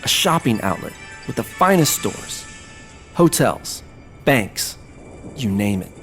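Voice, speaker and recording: An actor playing a scheming, flattering businessman with a polished, persuasive delivery in an audio drama. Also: mid-range voice, slow, soft background music, full character voice. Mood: grand salesmanship. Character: smooth, scheming businessman pitching grand plans, flattering.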